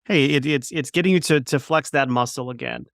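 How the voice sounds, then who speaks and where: Man, fifties, mid pitch, dry headset sound, chatty podcast host. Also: fairly bright tone, no echo. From Florida. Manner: friendly, punning, halting restarts. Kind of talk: casual interview. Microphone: headset on video call.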